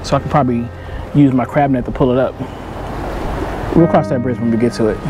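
A man's voice: mid-range voice, fairly even intonation, quick pace, loud music behind the voice, low-quality recording. A middle-aged man chatting casually and in slang to his viewers as a fishing vlogger, recorded outdoors on a pier. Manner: laid-back, slangy. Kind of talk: casual vlog chat to viewers.

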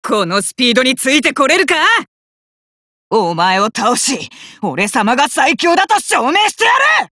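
An actor voicing a cocky, hot-blooded young male fighter in an anime card game, rough-talking and arrogant, with emphatic battle cries. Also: medium pitch, big pitch swings, normal speed, sharp tone, no room sound, clean studio sound. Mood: arrogant, determined.

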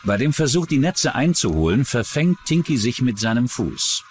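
A man's voice: medium pitch, big pitch swings, normal speed, faint music behind. A man reading scripted true-crime documentary narration.

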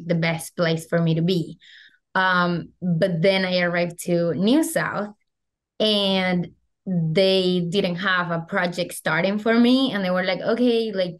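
A young woman from Venezuela with a Venezuelan Spanish accent, chatting animatedly as a podcast guest over a video call, full of "like" fillers. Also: lowish voice. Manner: animated, gestures a lot, many "like" fillers. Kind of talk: casual interview chat. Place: small room at home. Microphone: video call webcam.

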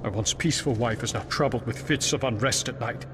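An actor playing a troubled, guilt-ridden Puritan farmer, speaking solemnly in archaic phrasing in a dramatic game monologue. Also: male voice, medium pitch, fairly quick, heavy background noise, lightly acted. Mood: haunted, fearful. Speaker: game character. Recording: long reverb, loud music behind.

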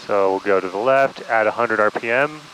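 A man working as a flight instructor, explaining hands-on in a relaxed, casual way over an aviation headset. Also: on the low side, brisk, slight background noise, low-quality recording. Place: inside small aircraft in flight. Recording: cockpit intercom with engine drone.

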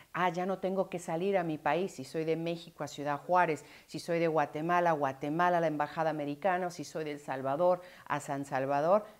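Earnest lower-voiced woman explaining to camera. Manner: clear and earnest, gesturing with both hands. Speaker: immigration lawyer. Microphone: lapel mic.

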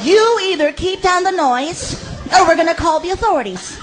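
A very high-pitched, swooping female hotel clerk, put on by a man in stand-up comedy. She is firm and scolding under a sing-song customer-service politeness. The live recording is noisy, with a crowd behind. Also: expressive, normal speed. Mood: stern, scolding.

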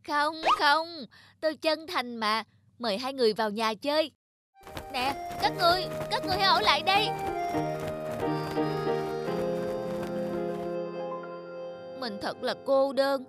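A man's voice: very high voice, expressive, moderate pace. A man playing a lonely, kind-hearted big red demon in a cartoon, with an earnest, emphatic delivery. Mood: lonely, pleading. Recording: music under the dialogue.

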